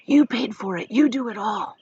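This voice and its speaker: A woman voicing an earnest, gentle young grandson in a storybook, hushed and whispery. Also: fairly high pitch, moderate pace, husky, no room sound, lo-fi sound, pitched above the performer's own voice.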